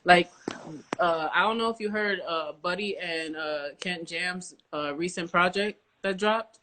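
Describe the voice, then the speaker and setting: A woman's voice: on the low side, measured pace, a bit bright, a little hiss or hum, poor audio. An American woman talks as the host of an interview podcast. She is informal and slangy, putting emphasis on her points as she chats with a guest. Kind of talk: casual interview chat.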